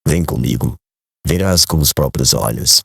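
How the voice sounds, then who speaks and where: A man playing a kindly, grave older man in an audiobook drama, speaking in a measured, formal way. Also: a bass voice, gravelly, heavy background noise, full character voice. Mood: serene, firm. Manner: solemn, measured, formal literary phrasing.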